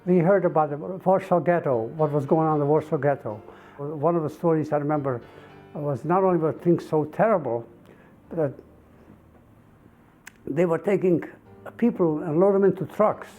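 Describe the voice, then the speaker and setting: A man in his eighties from Eastern Europe recounts his memories, pausing with fillers and repeating himself. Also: fairly high pitch, faint music behind. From Poland and Czechoslovakia. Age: 80s. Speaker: Holocaust survivor, interviewee. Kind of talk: testimony interview. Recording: tinny phone-like sound.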